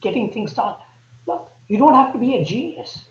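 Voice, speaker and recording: A man with an Indian accent, a podcast guest laying out his points earnestly and deliberately. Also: very high voice, very animated, slight background noise. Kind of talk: podcast interview. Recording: echoey, dull remote audio.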